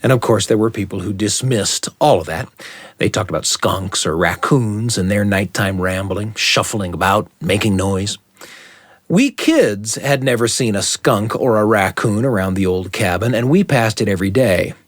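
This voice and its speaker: A man telling a story as a radio host, with deliberate, suspenseful phrasing and dramatic repetition. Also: lowish voice, lively intonation, no echo, clean studio sound.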